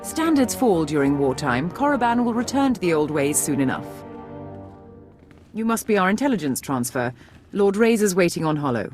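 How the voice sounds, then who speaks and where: A woman's voice: on the low side, very animated, moderate pace, over music. A woman playing a stern, businesslike Imperial major, briefing formally in a video game. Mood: brisk, authoritative. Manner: formal military briefing delivery.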